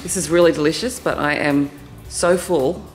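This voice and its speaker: A woman's voice: low-pitched, steady tone, moderate pace. A woman chatting casually over a meal in a cabin, rambling and trailing off between thoughts. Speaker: guest at the meal. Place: cabin dining room, indoors. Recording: roomy with music behind.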